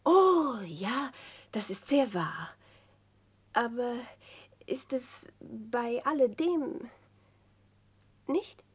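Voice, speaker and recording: A sharp, insinuating lady companion in an old German radio play. Her voice is fairly high and husky, with very mobile intonation. She poses innocent-sounding questions that slide into whispers and carry a hidden sting. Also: animated, very slow, pauses often, full character voice. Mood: sly, pointed. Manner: hints in feigned-innocent questions, often dropping to whispers.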